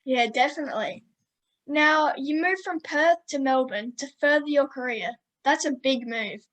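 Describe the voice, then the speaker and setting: A woman's voice: sits high, normal speed, fairly bright tone. A woman hosting an interview podcast, upbeat and friendly, asking questions and calling her guest mate. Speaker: podcast host.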